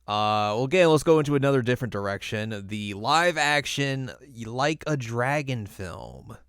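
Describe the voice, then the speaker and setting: A man's voice: mid-range voice, expressive, moderate pace, a bit bright, smooth, no echo. A man co-hosting a podcast, chatting casually and informally about films with some dry humor. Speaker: podcast co-host. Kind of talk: casual film review chat.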